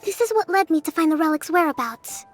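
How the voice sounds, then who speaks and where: An actress playing a calm, gentle young priestess in a game cutscene, speaking in a measured, soft-spoken way. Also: female voice, very high-pitched, soft background music. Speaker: divine priestess.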